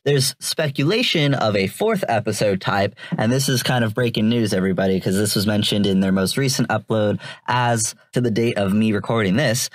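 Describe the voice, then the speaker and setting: A man's voice: mid-range voice, dry recording. A man hosting a commentary podcast, explaining things informally in a semi-scripted way. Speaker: podcast host and reviewer.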